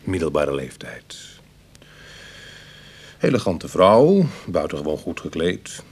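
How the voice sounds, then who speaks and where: A man's voice: a bass voice, sing-song, slow, creaky, darkish tone, husky, full character voice. An actor playing a self-deprecating ex-burglar in his thirties, talking dryly and colloquially in a Dutch radio play. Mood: wry. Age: 30s.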